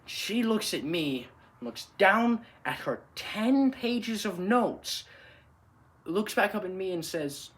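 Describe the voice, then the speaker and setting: A man performing a monologue as an animated, exasperated Irish comedian, telling a story with emphatic, theatrical delivery. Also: high voice, takes it slowly, voice pushed up, full character voice.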